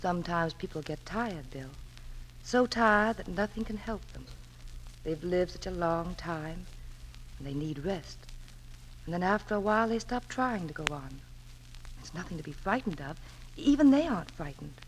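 An actress playing a gentle, caring mother in a 1940s radio drama, choosing her words softly and carefully. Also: lowish voice, animated, moderate pace, close to an everyday voice. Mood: tender, grave. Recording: noisy old 1940s radio recording.

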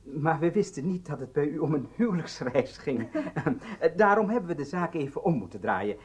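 Unctuous hotel director, husky and lilting. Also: male voice, a little high, expressive, moderate pace. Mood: obliging, deferential. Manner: formal, fawningly polite, unctuous. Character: obliging, ceremonious hotel director in Cairo. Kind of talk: Dutch radio play acting.

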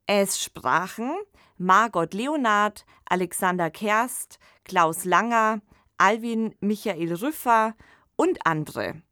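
A woman's voice: medium pitch, takes it slowly, bright, forceful tone, no echo, studio quality. A woman co-hosting a podcast, presenting and discussing in a reflective, informal, conversational way. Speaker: podcast co-host.

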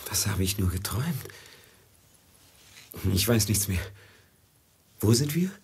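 A very deep, husky male voice plays a haunted merchant master in a radio drama. He speaks slowly and haltingly, bewildered and fatalistic, with a gravelly edge. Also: big pitch swings, darkish tone, heavily acted. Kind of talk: slow German radio play acting.

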